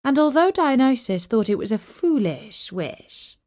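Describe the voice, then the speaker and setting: Slow, expressive woman storyteller. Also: on the high side. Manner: expressive, carefully phrased. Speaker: storyteller, host. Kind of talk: scripted children's story narration.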